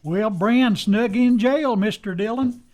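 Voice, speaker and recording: A man's voice: sits high, slow pace, a little mellow. A man with an American accent playing a folksy, deferential frontier lawman's helper in a western radio drama, with a drawling country way of talking. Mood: dutiful.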